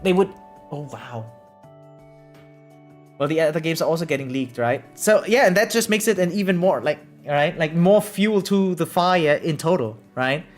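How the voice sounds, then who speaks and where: A livestreamer reacts casually over background music, switching between reading aloud and chatting. The voice sits at a middle pitch. The delivery is animated and exclamatory, with frequent "right?" check-ins. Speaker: variety streamer. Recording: music behind the voice.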